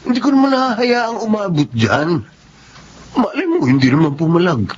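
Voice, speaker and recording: A menacing young troublemaker from a radio drama. His delivery is shameless and predatory, swinging widely in pitch, on a dull recording with clear noise. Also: huge pitch swings, normal speed. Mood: brazen, teasing. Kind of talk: Filipino radio drama acting.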